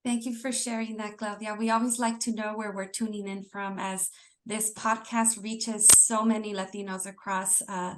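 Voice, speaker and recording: A woman hosts a podcast and leads the interview with friendly, encouraging questions. Her voice sits at a middle pitch, and her delivery is easy and conversational. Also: level tone, normal speed, slight background noise. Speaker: podcast host.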